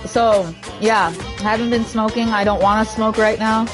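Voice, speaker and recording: A young woman streamer with a naturally low, slightly hoarse voice, chatting casually and rambling between topics. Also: fairly even intonation, normal speed, over music. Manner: rambling, chatty, easily sidetracked. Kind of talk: casual gaming stream chat.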